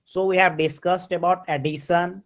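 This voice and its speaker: A man's voice: sits high, little pitch movement, moderate pace. A man with an Indian accent teaching a maths class online over a computer mic, switching between English and Hindi and repeating his points.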